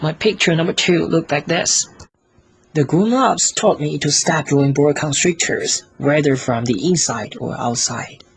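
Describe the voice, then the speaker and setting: A man reads a storybook as scripted audiobook narration, in short, careful, deliberate phrases. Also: a little high, creaky, a little hiss or hum. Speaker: audiobook reader.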